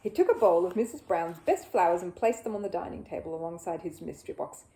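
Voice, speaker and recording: A woman reading a children's story aloud in an expressive, animated way. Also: fairly low pitch, fast talker, rough recording.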